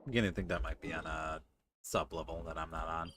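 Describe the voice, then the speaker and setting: A man's voice: rumbling low, steady tone, fast, a bit bright, slight background noise. A man giving casual live game commentary to his chat, with a playfully exasperated, exclamatory manner. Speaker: game streamer.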